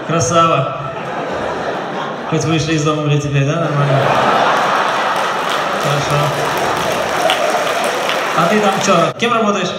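A young man doing stand-up crowd work, mocking the front row bluntly and swearing a lot. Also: on the high side. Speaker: stand-up comedian. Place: large dark theater stage. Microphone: handheld mic, filmed from far. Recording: echoey hall, distant, loud crowd. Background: audience laughter and noise.

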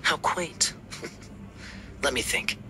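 An actor voicing a suave, sly young male knight in a video game, smooth and teasing. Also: very slow, loud music behind the voice. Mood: amused.

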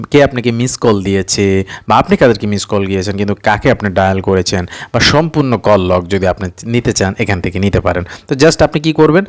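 A man narrates an informal phone app tutorial step by step, instructing repetitively, prompting with rhetorical questions and mixing in English words. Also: fairly low pitch. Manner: mixes English words into speech. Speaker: tutorial presenter.

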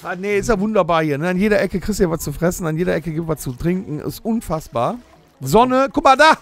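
Lively gravelly-voiced man, chatty. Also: sits high, fast. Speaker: podcast host in an audio play. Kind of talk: scripted comedy audio play, casual banter.

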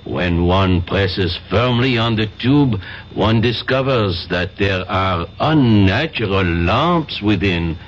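An actor playing a courteous, methodical French customs detective with a French accent and hesitant, polite phrasing in a radio drama. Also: male voice, lowish voice, lively intonation. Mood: calm, authoritative. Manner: polite, deliberate, hesitant pauses. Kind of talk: 1970s radio drama acting. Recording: muffled 1970s radio recording, faint noise.

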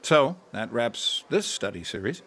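An actor playing an easygoing Bible study leader in an audio drama, speaking casually to a small group. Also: male voice, mid-range voice, very animated, unhurried, a bit bright. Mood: relaxed. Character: male pastor leading a small Bible study. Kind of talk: scripted audio drama acting.

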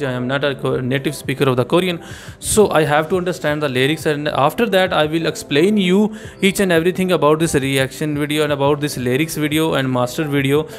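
A man in his thirties, not a native English speaker, hosting a casual reaction video, chatting with many hesitations and exclamations in a small room. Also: on the high side. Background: faint music from the reacted video.

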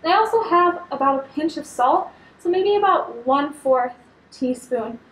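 Young woman, high voice, upbeat cooking teacher, roomy kitchen sound, a bit off-mic. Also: animated, normal speed, far from the mic. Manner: upbeat, instructive, talks while handling food. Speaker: cooking demonstrator. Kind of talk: friendly cooking demonstration. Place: large studio kitchen indoors.

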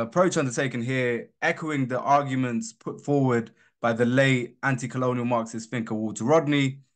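Young man, British accent, academic seminar talk, measured, earnest, mid-pitched voice. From Colombia. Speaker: researcher presenting a seminar. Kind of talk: academic presentation.

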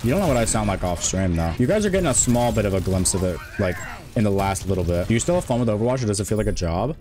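A man in his twenties streaming a game, talking in a rambling, monotone way with many restarts. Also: lowish voice, racing, faint music behind. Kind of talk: casual stream chat.

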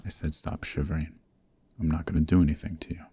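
A man acting a very deep, cold mafia heir. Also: flat delivery, fast, background noise. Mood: stern, commanding. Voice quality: gravelly, very deep. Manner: stern, domineering, clipped and menacing. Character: cold-hearted, commanding young mafia heir, newly married. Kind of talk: ASMR roleplay, scripted acting.

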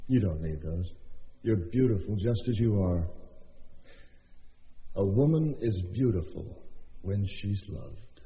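An actor in a radio drama playing an adoring, bookish husband, speaking in a florid, sincere way. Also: male voice, lowish voice, animated, slow, pauses often. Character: Richard, adoring, bookish, romantic writer husband. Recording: muffled, long reverberant tail.

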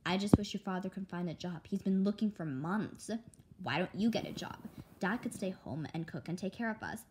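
A woman's voice: lowish voice, a little fast, a little hiss or hum. A woman reading a comic story aloud, acting out the dialogue and speaking the sound words. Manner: animated, acts out characters' dialogue.

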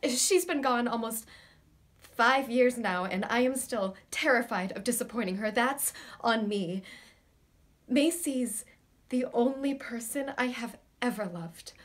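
A woman's voice: on the high side, animated, takes it slowly, a bit bright. An actress playing a torn, lovesick woman in an intimate theatrical monologue, earnest, hesitant and vulnerable. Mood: rueful, anxious. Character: torn, lovesick woman, scared yet tender and confessional.

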